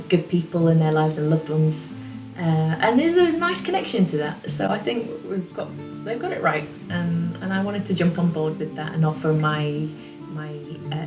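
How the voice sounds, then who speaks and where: A woman with a lowish voice talks in a relaxed, rambling way from her small home studio. She sits a little back from the mic in a roomy space, with clear music playing behind her. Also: far from the mic. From England. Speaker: singer-songwriter. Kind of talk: casual talk to camera.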